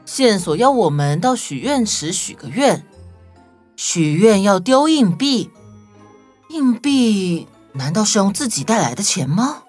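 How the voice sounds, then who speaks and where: A clever, serious detective, played by a woman in a children's story. The mid-pitched voice moves in lively swings as it reasons things out over faint music. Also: unhurried. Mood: pondering. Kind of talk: children's audio story voice acting.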